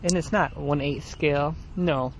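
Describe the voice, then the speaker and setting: A man's voice: fairly high pitch, a little slow, a little hiss or hum. A man co-hosting a podcast, chatting casually and laughing often while sitting on a swing outdoors. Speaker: podcast co-host. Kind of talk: casual chat.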